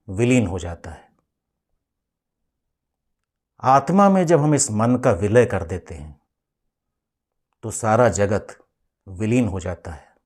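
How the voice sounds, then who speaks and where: Male meditation guide and teacher: fairly low pitch, very unhurried pace, measured and instructive delivery with deliberate pauses. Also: a little mellow. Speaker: professor leading a guided meditation.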